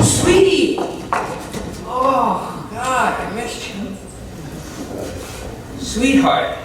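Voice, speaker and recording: An actor in a live stage play, playing an earnest, halting younger ex-convict, heard from afar in an echoey room. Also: male voice, high voice, full character voice. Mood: hopeful, excited. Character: earnest, eager younger ex-convict, candy-machine businessman. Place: indoor stage, recorded from afar. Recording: echoey distant stage recording with noise.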